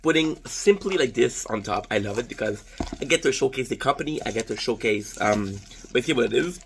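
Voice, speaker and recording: A man's voice: medium pitch, a bit bright, a little hiss or hum. A man in his thirties from Canada with a French Canadian accent, chatting casually and rambling through a food-tasting vlog with profanity and self-deprecating jokes. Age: 30s. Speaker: vlogger.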